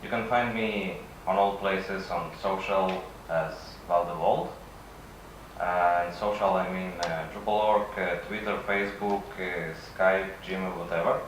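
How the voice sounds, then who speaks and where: A man with a Russian accent, a native Russian speaker, giving a halting conference presentation with frequent pauses. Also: low voice, steady tone. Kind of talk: conference presentation, semi-improvised. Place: conference session room. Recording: echoey room from far off, faint noise.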